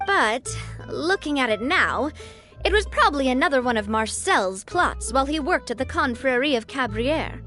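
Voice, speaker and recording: A poised young gang leader, bright and high in pitch and gracious in her phrasing. Faint game music runs under her voice. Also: expressive, moderate pace. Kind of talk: video game voice acting.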